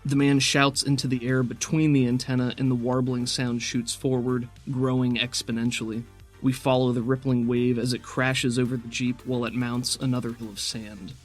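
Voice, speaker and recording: A man working as game master, describing scenes for a tabletop roleplaying game in a measured, cinematic storytelling way. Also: mid-range voice, little pitch movement. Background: music.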